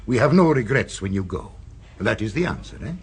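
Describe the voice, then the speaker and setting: An actor playing a suave, sinister restaurant owner in a radio drama, with a smooth, purring and insinuating delivery. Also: male voice, fairly low pitch, very animated, a little fast, slight background noise. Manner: silky and insinuating, tags questions with "eh". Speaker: restaurant proprietor.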